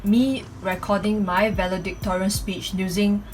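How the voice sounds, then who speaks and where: A young woman from Singapore with a Singaporean accent and a mid-pitched voice. She speaks as an amateur presenter on a home microphone, with clear noise behind her. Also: flat delivery, normal speed. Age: 20s. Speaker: amateur product reviewer. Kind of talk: casual microphone review with read-aloud speech samples. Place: room at home. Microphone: USB condenser microphone.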